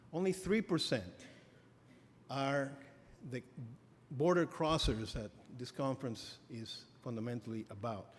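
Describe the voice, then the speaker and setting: A middle-aged man giving an academic lecture as a professor, halting with many fillers and restarts. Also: mid-range voice, a little hiss or hum. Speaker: professor giving a keynote address. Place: lecture hall. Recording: reverberant hall, faint ambience.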